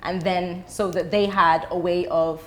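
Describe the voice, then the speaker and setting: Slow, measured woman explaining, lowish voice. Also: flat delivery. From the Bahamas and the UK. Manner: measured, deliberate, pausing to choose words. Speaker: interview guest, educator. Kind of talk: explanatory interview talk.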